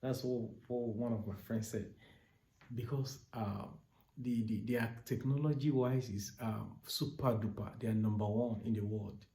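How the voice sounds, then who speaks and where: Nigerian guy, warm mellow voice, keen and repetitive, roomy echo. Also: lowish voice, steady tone, measured pace. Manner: repetitive, enthusiastic, stacks repeated words and restarts. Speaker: recruitment agent. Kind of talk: informal advice vlog. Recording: echoey room.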